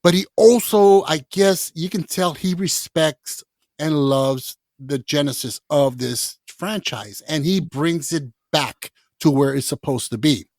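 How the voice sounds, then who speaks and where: Excitable man hosting a film podcast. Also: on the high side, measured pace, no echo. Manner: excitable, swears freely, laughs often. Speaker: podcast host. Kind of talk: casual film chat.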